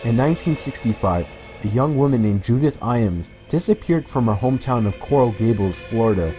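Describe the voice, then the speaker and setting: A man's voice: fairly low pitch, a little mellow. A man delivering scripted documentary-style narration about real mysteries. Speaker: video narrator.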